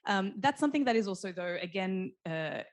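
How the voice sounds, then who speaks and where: A woman's voice: medium pitch, lively intonation, brisk. A woman speaking as a panelist, thoughtful and full of restarts and fillers. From Australia. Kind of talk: panel discussion.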